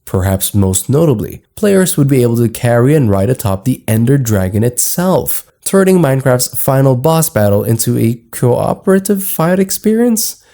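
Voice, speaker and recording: A man's voice: medium pitch, sing-song, normal speed, hardly any pauses, dark tone. A man reading scripted narration as the narrator of a gaming explainer video.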